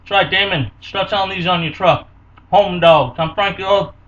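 A man, hosting a casual home cooking video in a small kitchen with energetic, hammy showman patter. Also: sits high, moderate pace, distant mic. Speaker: cooking show host. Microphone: camera mic close by. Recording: dull lo-fi webcam audio from a bit off-mic.

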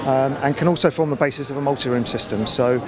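A man's voice: medium pitch, fast. A middle-aged man explaining products informally in a trade show interview. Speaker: company account manager presenting products. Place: large indoor exhibition hall. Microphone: handheld interview microphone. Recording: busy crowd noise behind. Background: trade show crowd hubbub.

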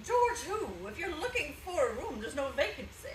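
Stern, distrustful landlady, female actor: high, animated, curt radio play delivery. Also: moderate pace, a little hiss or hum. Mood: suspicious, cold. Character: suspicious, cold, stern mother running a boarding house. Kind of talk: live 1940s-style radio play acting. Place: small theatre stage, indoors. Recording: roomy, distant theatre recording with audience.

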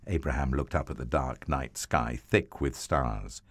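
Deep slow-paced storybook narrator man. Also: slightly rough, no room sound. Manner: slow, expressive, sweeping storytelling.

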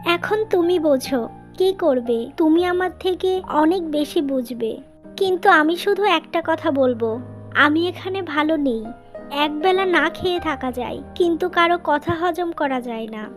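A woman's voice: high-pitched, animated, measured pace, faint music behind. A woman voicing a plaintive yet trusting young wife in a scripted cartoon.

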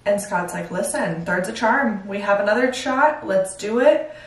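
A woman's voice: mid-range voice, moderate pace. Chatty young woman vlogging in an echoey bathroom. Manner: chatty, candid, filler-heavy. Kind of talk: casual chat to camera. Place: home bathroom, indoors. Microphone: far camera. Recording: roomy echo from far off.

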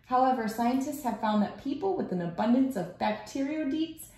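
A woman in her thirties reading a children's book aloud at home in a measured storytelling way. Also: high-pitched, expressive, mellow. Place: small room at home, indoors. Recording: echoey room.

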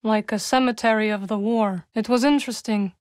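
A woman playing a solemn, guarded young witch warrior in a video game, dryly wry, with measured, formal phrasing. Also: fairly high pitch, steady tone, a little mellow, dry recording, clean studio sound, close to an everyday voice. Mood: dry, composed. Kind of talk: video game character dialogue.

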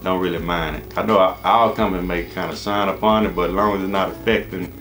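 An American man with a deep voice gives informal advice as a channel host. He rambles and repeats himself in a casual, conversational way. Music plays behind his talk. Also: steady tone, quick pace, a bit bright. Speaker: HVAC business coach and channel host. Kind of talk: casual advice monologue to camera. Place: small indoor office.

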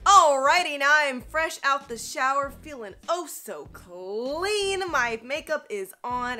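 A woman's voice: sits high, pushed and bright. A woman in her thirties giving a chatty, upbeat hair tutorial to the camera in a small studio. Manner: chatty, upbeat, coaching viewers. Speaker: beauty vlogger. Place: small indoor studio with grey backdrop.

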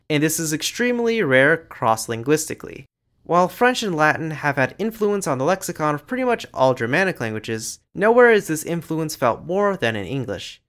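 A man giving scripted educational narration over slides, in a lively, explanatory way. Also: a little high. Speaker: language channel narrator.

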